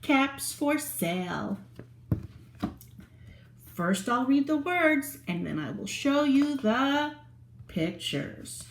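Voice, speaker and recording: A woman's voice: on the high side, roomy sound. A woman reading a picture book aloud to young children in a playful, sing-song way, stopping to ask them questions. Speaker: children's storyteller.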